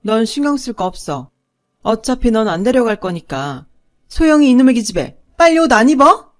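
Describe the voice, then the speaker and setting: A woman voicing a cold, angry stepmother who yells scornfully at her daughter in a spoken story. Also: on the high side, sing-song, moderate pace. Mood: harsh, shouting. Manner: shouting, cutting, scornful.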